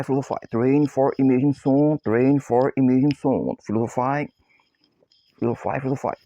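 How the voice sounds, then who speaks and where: A man's voice: mid-range voice, no room sound, muffled recording. Brazilian man chanting in broken English. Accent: Brazilian Portuguese. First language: Portuguese. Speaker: copywriter. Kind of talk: repetitive chanted self-introduction.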